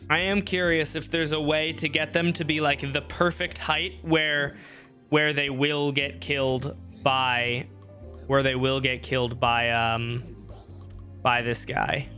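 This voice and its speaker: A man's voice: fairly high pitch, steady tone, takes it slowly, pushed and bright, soft background music. A young man streaming a game, rambling and joking at himself as he thinks aloud. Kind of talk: casual live-stream gameplay talk. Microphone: streaming microphone.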